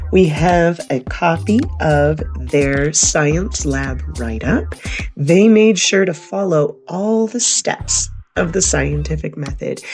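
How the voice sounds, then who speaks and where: A woman reads a children's story aloud with a lively, swinging intonation. Her voice sits a little low and has some creak, and she takes her time, explaining things to young listeners as a teacher would. Soft music plays along. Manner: expressive, explanatory asides addressed to children.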